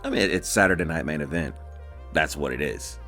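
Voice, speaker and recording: Man, very low voice, laid-back drawl, casual co-host, faint music behind. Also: lively intonation, a little fast, bright, forceful tone. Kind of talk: casual wrestling chat.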